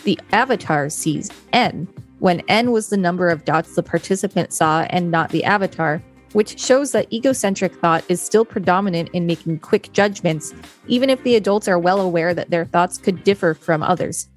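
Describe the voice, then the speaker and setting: A woman co-hosting a podcast, reading an encyclopedia article in a measured, explanatory way. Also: lowish voice, faint music behind. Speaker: podcast co-host. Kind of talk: reading an encyclopedia article aloud with brief chat.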